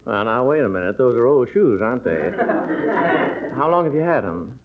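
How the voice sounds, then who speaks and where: Easygoing doctor dad male actor, mid-pitched and wry, conversational vintage radio comedy-drama, dull lo-fi sound. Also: big pitch swings, normal speed, natural voice. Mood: reasonable haggling. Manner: wry, conversational, reasoning aloud. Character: easygoing small-town doctor and father, mildly thrifty. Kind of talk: old-time radio comedy-drama acting. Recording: dull old radio recording.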